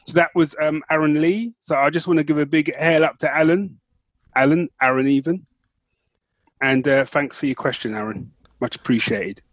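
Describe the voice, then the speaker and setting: A man's voice: on the high side, moderate pace, no echo. A British man co-hosting a podcast in casual, playful, slangy and teasing banter. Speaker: podcast co-host.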